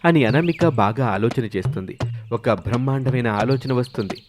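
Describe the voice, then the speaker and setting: A man's voice: medium pitch, normal speed. A man reading scripted narration for a moral story. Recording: music clearly behind the voice. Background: background music.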